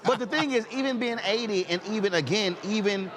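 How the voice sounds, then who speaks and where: Emphatic middle-aged man, fairly high voice. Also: brisk, a bit bright. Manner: emphatic, repeats words and phrases as he builds a point. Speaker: talk show host. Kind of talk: conversational interview with personal anecdotes.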